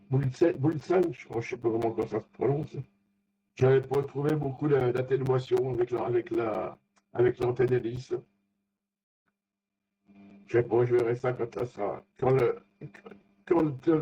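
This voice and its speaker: A man in a casual technical chat as an amateur radio operator, speaking haltingly and often searching for words. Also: mid-range voice, warm tone, slightly rough. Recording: dull, lo-fi radio contact audio.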